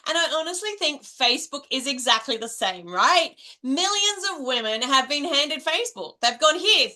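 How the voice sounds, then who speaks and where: A woman's voice: high-pitched, sing-song, moderate pace, pushed and bright. A middle-aged Australian woman coaching in a chatty monologue, tagging nearly every point with "right?". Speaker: direct selling coach. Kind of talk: casual coaching monologue to camera.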